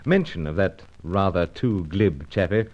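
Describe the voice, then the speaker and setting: A loyal, dryly understated British secret agent in a radio adventure. The voice is low with lively inflection and clipped delivery. The sound is a little muffled. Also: male voice, measured pace. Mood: reflective. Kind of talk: old-fashioned radio serial acting and first-person narration.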